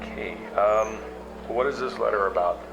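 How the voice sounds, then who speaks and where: A man acting as a wary, skeptical investigator in a sci-fi audio drama, speaking in a halting, understated, conversational way. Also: lowish voice, creaky, dull audio, noisy background. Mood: skeptical, probing. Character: wary, skeptical male investigator broadcasting in secret. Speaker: narrator and lead character. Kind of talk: audio drama acting, confessional broadcast narration and dialogue. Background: prominent background music.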